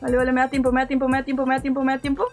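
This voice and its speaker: A young woman streams a game with a very high voice and a Spanish accent tinged with Mexican inflections. She talks casually and slangily, firing off repeated phrases in an animated way. Light music plays behind her. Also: very fast. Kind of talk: casual live gameplay commentary.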